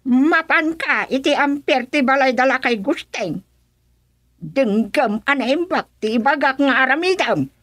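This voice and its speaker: A woman's voice: sits high, expressive, unhurried, a bit bright, no room sound, clean studio sound, heavily acted. A woman playing a bossy, impatient, scheming aunt in a radio comedy drama, with a commanding, conspiratorial manner. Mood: conspiring, commanding.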